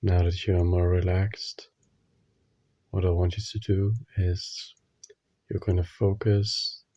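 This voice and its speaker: A man leading a guided meditation, giving gentle instructions in short phrases with long pauses. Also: deep voice, no room sound, dull audio.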